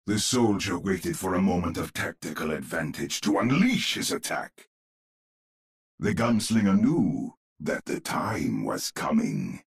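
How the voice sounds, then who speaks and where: A grave old storyteller narrates a gothic video game tale. His voice is very husky and crackles with gravel. He takes his time over each phrase, savouring it with ominous, theatrical weight. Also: deep voice, big pitch swings, a little slow, exaggerated voice. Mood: ominous, measured.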